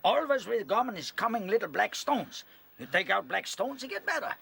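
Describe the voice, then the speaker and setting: High, squawking seabird. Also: male voice, big pitch swings, normal speed, creaky, bright, forceful tone, breathy edge, exaggerated voice. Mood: gruff, reassuring. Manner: clipped broken grammar, exclaiming. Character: excitable blunt male seabird, friendly helper. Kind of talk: radio drama acting.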